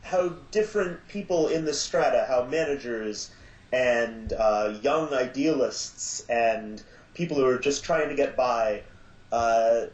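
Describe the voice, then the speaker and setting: Podcast guest, male, halting casual delivery, roomy and dull recording. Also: medium pitch. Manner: hesitant, many filler sounds. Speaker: podcast guest from an audio drama. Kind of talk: casual interview talk. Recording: echoey, dull lo-fi line.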